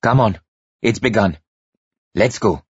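Man voicing a brisk, breathy woman doctor. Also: fairly low pitch, dry recording, lo-fi sound, full character voice. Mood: commanding. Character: brisk, commanding female doctor.

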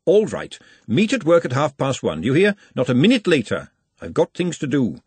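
A stern, brisk woman cook from a storybook, given a raised pitch by a man reading aloud. She speaks bossily, with a husky texture and plenty of movement in the voice. The recording is dry and close. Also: wildly swooping pitch, no room sound, heavily acted. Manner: stern, bossy.

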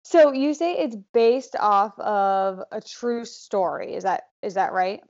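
Female podcast host, mid pitch, lo-fi muffled audio, affirming interviewer. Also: animated, slow pace, a little mellow. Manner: brief affirming responses. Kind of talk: casual interview.

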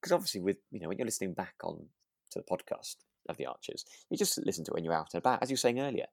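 A man's voice: sits low, big pitch swings, very fast, creaky, no echo, studio quality. A man co-hosting a podcast in casual chat, effusive and often repeating himself as he searches for phrasing. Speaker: podcast co-host. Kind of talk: casual chat about a radio drama.

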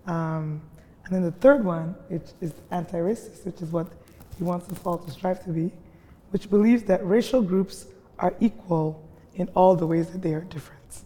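A woman hosting a panel discussion in a large library reading room, speaking in a measured way and reading definitions carefully. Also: lowish voice, mellow. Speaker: host and immigration manager. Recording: long reverb of a large hall.

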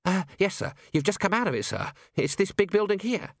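Man acting a high-pitched, nervous young constable. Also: big pitch swings, brisk, gravelly, fairly bright tone, no echo, clean studio sound, full character voice. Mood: panicky, bemused. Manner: hesitant, meek. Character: nervous young male constable, bewildered and deferential. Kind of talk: audiobook character acting.

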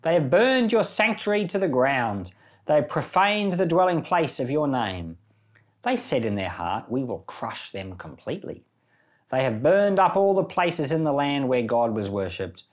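A man's voice: mid-range voice, very animated, normal speed. A man speaking as a pastor on a Bible podcast, reading scripture and explaining it in a plain teaching manner. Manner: explanatory, reasoning through options step by step. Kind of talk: scripture reading followed by informal teaching commentary.

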